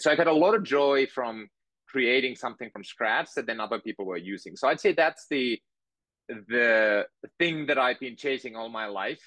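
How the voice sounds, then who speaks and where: A man, telling his story in a measured, thoughtful way as a podcast guest on a video call. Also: mid-range voice, bright, forceful tone, no echo. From Finland. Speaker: guest entrepreneur. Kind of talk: interview conversation. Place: home room with bookshelves.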